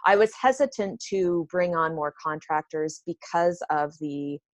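A woman's voice: low-pitched, unhurried, no echo. A woman business owner being interviewed, explaining things conversationally and informally, thinking aloud as she goes.

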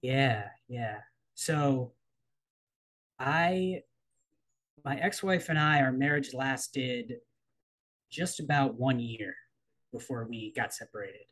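A man in his thirties from the East Coast of the United States, a coach and writer speaking as a guest in a thoughtful, measured way with frequent pauses. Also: medium pitch, a bit bright. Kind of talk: reflective personal interview.

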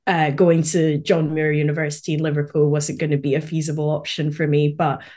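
Young Scottish woman, Edinburgh accent, low voice, reflective podcast guest. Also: flat delivery, fairly quick, a little mellow. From born in Malawi, raised in Scotland. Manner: thoughtful, with frequent fillers and light laughs. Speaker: podcast guest, quantity surveyor. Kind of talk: casual conversational interview. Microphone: remote call.

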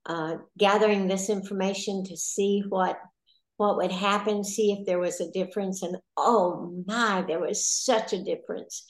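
A woman's voice: medium pitch, steady tone, moderate pace. Folksy woman in her seventies, echoey. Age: 70s. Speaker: interview guest, healthcare provider. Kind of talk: casual chat.

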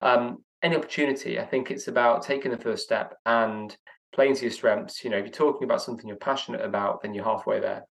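An earnest young man answers interview questions in a reflective, conversational way. His voice sits at an ordinary male pitch, and he sometimes stammers and restarts as he gathers his thoughts. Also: steady tone, quick pace, gravelly, poor audio. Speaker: guest, professional speaker.

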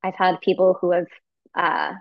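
A woman guest chats eagerly on a podcast, filling her sentences with likes and ums. Her audio is slightly dull and muffled, dry and close, and her pitch sits mid-range. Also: big pitch swings, normal speed, creaky, no echo. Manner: warm, enthusiastic, uses "like" and "um" a lot. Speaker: guest, sound healer. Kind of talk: casual podcast interview.

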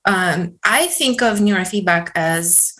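Middle-aged russian-born woman talking about her work, earnest, lots of ums and pauses. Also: on the low side, lively intonation, a bit bright. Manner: earnest, measured, many ums. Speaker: neurofeedback practitioner, guest. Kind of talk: interview answers.

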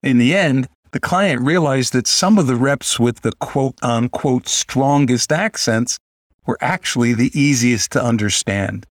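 Measured male coach, lower voice. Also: dry recording, clean studio sound. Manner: measured, explanatory. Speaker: podcast host and communication coach. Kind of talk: prepared commentary.

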